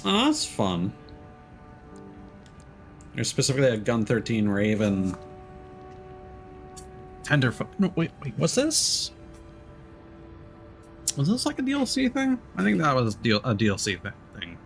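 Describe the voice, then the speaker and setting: A man's voice: medium pitch, huge pitch swings, a little slow, vocal fry, pauses often, soft background music. A man doing casual live game-stream commentary, thinking aloud in a rambling way.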